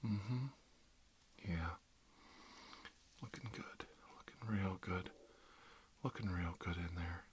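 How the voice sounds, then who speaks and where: A man playing a calm, reassuring dentist in an ASMR roleplay, speaking softly and often slipping into whispers. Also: deep voice, takes it slowly, long pauses. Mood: soothing, reassuring. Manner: soft, often dropping into whispers, soothing. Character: calm reassuring dentist treating an undead patient. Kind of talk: ASMR roleplay, murmured one-sided dialogue. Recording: clear background noise, clicks.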